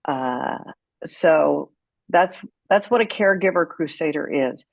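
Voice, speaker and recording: A woman's voice: low-pitched, measured pace, creaky, dry recording. A woman speaking as an interview guest, telling her story in a candid, outspoken and emphatic way. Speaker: guest, author and caregiver advocate.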